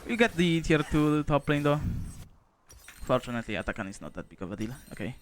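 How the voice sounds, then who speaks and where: A man's voice: fairly high pitch, very animated, a little fast, a little hiss or hum. A young man from Poland, a streamer giving casual, laid-back gameplay commentary, chatting and swearing now and then.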